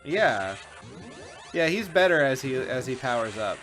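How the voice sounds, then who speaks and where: A man with a mid-pitched voice talks casually through a video game as he plays it. His delivery is informal and peppered with swearing, with game music playing faintly behind him. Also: big pitch swings, unhurried, fairly bright tone. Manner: informal, profane. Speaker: video game show co-host and player. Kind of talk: casual gameplay commentary.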